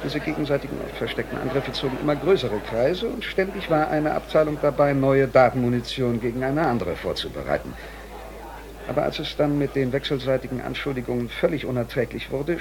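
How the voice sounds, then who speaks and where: Actor as dryly ironic office employee, murmur behind. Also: male voice, mid-range voice, animated, fairly quick, a crowd in the background, lightly acted, low-quality recording. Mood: dry irony. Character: dryly ironic male company employee recounting office absurdities. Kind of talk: radio drama monologue, narrative.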